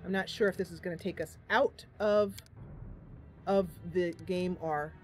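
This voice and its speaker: A woman's voice: fairly low pitch, fairly even intonation, unhurried. A woman giving casual, chatty game commentary, thinking aloud in a playful way. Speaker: gameplay host and role-player. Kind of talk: casual game commentary with voiced role-play. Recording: game music behind. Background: game music.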